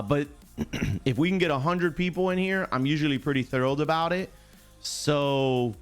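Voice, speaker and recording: A man's voice: on the high side, smooth. Chummy streamer guy with music behind him. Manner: chummy slang, repeats himself. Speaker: host of a live sports stream. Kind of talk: casual live-stream chat, greeting viewers by name.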